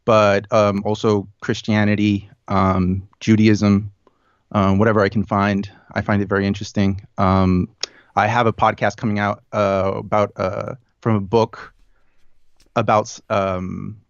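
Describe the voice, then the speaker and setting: A man in his thirties talks as a podcast guest. His speech is thoughtful and halting, with frequent ums and restarts. The recording sounds muffled and dry. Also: on the low side, level tone, clean tone, dry recording. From United States and Chile. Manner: thoughtful, many fillers and restarts. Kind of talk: casual conversation.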